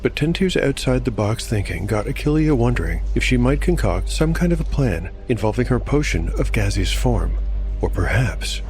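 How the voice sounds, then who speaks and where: A man narrating from a script as host, in a measured storytelling manner. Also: mid-range voice, very animated, a little mellow, soft background music.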